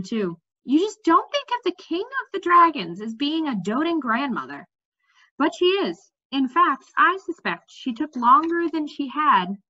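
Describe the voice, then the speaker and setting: A woman's voice: on the high side, bright, forceful tone, highs cut off, exaggerated voice. A woman reading the part of a candid, matter-of-fact young woman in a fantasy storybook.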